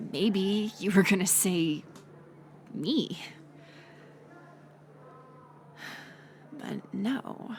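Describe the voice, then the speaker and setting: A woman acting as a wounded young woman in an audio roleplay, bitter and confessional. She speaks slowly with a lot of vocal fry, halting and intimate. There is some background noise on the recording. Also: mid-range voice. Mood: nervous, hurt. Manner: halting confessional delivery, drifting into breathy near-whispers. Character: bitter, wounded college-age woman, former friend turned bully, confessing.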